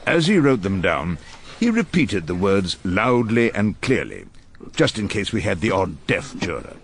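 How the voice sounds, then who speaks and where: A man's voice: low-pitched, wildly swooping pitch, measured pace, heavily acted. An actor playing an irascible, witty, ageing male barrister in a radio drama, courtly and ironic as he argues and narrates. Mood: wry narration. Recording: noticeable background noise and ambience.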